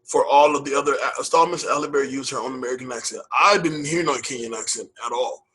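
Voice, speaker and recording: A man's voice: medium pitch, fast, a bit bright, slightly rough, low-quality recording. A man co-hosting a movie podcast in casual chat, loose and hype-style, repeating phrases and using slang. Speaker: podcast co-host.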